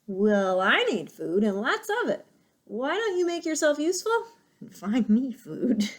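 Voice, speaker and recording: A woman's voice: on the high side, big pitch swings, measured pace, voice pushed up. Woman doing a sly growling fox. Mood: gruff. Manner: growling, demanding. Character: sly grumpy male fox.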